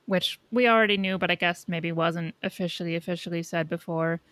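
A woman co-hosting a casual podcast, low-key and informal, sounding a little sleepy and under the weather. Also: a bit bright, dry recording. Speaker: podcast co-host.

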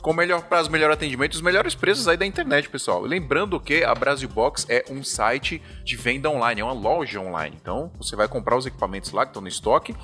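A man's voice: mid-range voice, lively intonation, quick pace, few pauses, bright, forceful tone. A man with a Brazilian accent hosting a podcast in a casual, slangy, jokey chat. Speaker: podcast host.

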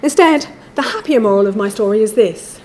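A woman giving a measured, formal academic lecture from a prepared text. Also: on the high side, animated, a little mellow, slight background noise. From England.

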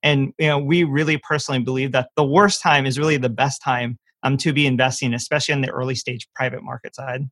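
A man with a bright, forward voice at a middle pitch, recorded dry and close. He explains things conversationally as an interview guest, with enthusiasm and clear emphasis on key words. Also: steady tone, fast, few pauses, no echo. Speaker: venture capital partner, interview guest. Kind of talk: conversational self-introduction and explanation.

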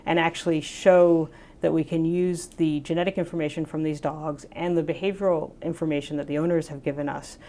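A woman's voice: sits low, fairly even intonation, a little fast, warm tone. A woman scientist answering interview questions, explaining her work eagerly with many "you know"s. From United States. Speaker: scientist, professor.

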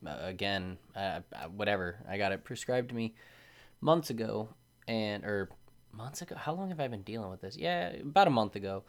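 A man hosts a solo podcast in a sleepy, drowsy voice. His voice sits a little low, and he rambles along casually, breaking off and restarting his sentences. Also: expressive, normal speed. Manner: sleepy, rambling, self-interrupting. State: drowsy from medication. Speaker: podcast host.

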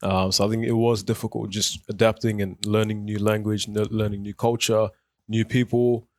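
Low-voiced young man in a dry studio. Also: flat delivery, normal speed, no room sound. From South Sudan. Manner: thoughtful, with frequent fillers and restarts. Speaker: guest. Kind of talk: radio interview, personal story. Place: radio studio.

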